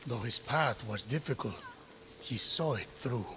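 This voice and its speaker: A man playing a wise, formal immortal who narrates Greek myths in a measured, grave manner for a video game. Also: medium pitch, lively intonation, heavy background noise, natural voice. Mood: grave, storytelling. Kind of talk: scripted narration. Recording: music behind the voice.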